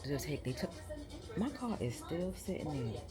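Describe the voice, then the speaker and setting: A woman's voice: low voice, moderate pace, over loud music. A woman in her fifties with a New York accent, chatting casually and playfully to her vlog audience from her phone. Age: 50s. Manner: animated storytelling, playful. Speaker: vlogger. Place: in a car, then a waiting area at a tire shop. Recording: loud background noise.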